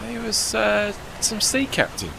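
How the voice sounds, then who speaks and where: Man playing a plucky, high-voiced steam tugboat. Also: wildly swooping pitch, measured pace, gravelly, noisy background, full character voice. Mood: curious. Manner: colloquial, folksy, non-standard grammar. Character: plucky working-class male steam tugboat, cheeky and proud. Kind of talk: audio drama acting.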